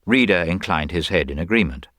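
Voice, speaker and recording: Male narrator, low pitch, expressive range, scripted detective fiction, dry studio sound. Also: brisk, no echo.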